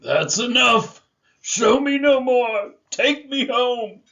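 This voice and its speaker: Guy acting a desperate old miser in a radio play, really high voice, slow wailing pleas, muffled audio. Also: lively intonation, vocal fry, fairly bright tone, exaggerated voice. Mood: distraught. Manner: pleading, drawn-out outcries. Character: anguished old miser begging a spirit for mercy. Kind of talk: amateur radio drama acting. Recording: dull lo-fi audio.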